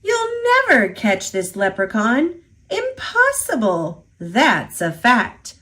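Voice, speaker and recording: Woman playing a boastful leprechaun, lively and sing-song. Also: on the high side, slow, full character voice. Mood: boastful, playful. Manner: playful, taunting, sing-song rhyming delivery. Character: boastful, mischievous little male leprechaun, two hundred years old.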